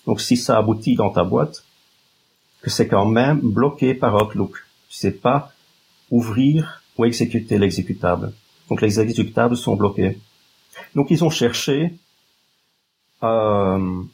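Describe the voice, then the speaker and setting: A man from Flanders explains things as a guest expert, with a Flemish accent from his native Dutch. His voice is fairly low and husky, and he feels his way through sentences. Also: darkish tone, rough recording. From Flanders, Belgium. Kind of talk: explanatory interview talk.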